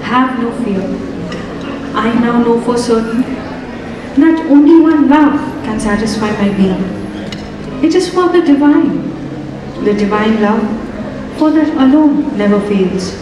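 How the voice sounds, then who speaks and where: A young woman plays a calm, dignified wife in a stage play, slightly high-voiced and solemn in measured lines. She is far from the mic in a big echoing auditorium. A steady noise hums behind her. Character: serene, dignified wife who gives up her husband. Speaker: student actor in a stage play. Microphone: distant stage mic.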